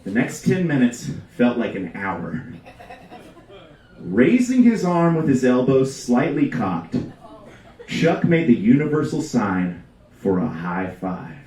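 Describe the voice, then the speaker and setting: A man reading a comic story aloud at a live event, with theatrical emphasis and dramatic pauses. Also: medium pitch, big pitch swings, mellow. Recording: echoey live room, distant mic, background noise.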